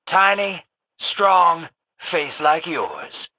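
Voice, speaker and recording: An actor playing a sly, cocky male rogue in a video game, with a raspy, folksy, teasing drawl. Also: on the high side, wildly swooping pitch, extremely slow, pushed and bright, dry recording, exaggerated voice, rough recording. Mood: sardonic. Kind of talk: colourful video game voice acting.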